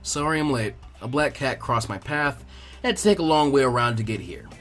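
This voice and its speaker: A man's voice: medium pitch, expressive, a little fast, fairly bright tone, faint music behind, lightly acted. A man voicing an aloof, bored adult ninja teacher in a story reading, casual in manner. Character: aloof, bored, silver-haired masked male ninja teacher. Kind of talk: acted fan fiction reading.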